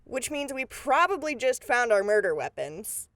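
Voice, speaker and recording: A woman's voice: on the high side, sing-song, moderate pace, a bit bright. A woman playing a businesslike, take-charge deputy investigator in a radio drama, plain-spoken and matter-of-fact. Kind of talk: scripted radio drama acting.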